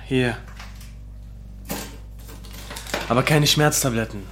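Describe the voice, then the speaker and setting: An actor playing a brash young drug dealer and pushy best friend in a radio drama, husky and full of swearing slang. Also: male voice, medium pitch, a little fast, noisy background, lightly acted. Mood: cocky. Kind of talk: German radio drama acting.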